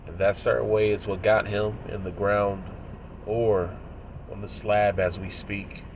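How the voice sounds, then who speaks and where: A man in his thirties, his voice low and thick with congestion, talks at a deliberate pace with frequent pauses. He moralizes like a preacher giving a lesson. A faint background noise sits under the recording. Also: flat delivery, low-quality recording. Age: 30s. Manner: measured, moralizing, pausing often. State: congested and nasal from a cold, coughing. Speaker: religious commentator. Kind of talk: preachy commentary video.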